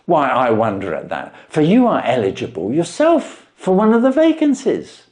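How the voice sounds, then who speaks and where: Man doing storybook shop assistant, male, eager, coaxing, smooth-talking, very lively pitch. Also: moderate pace. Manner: coaxing, animated explaining.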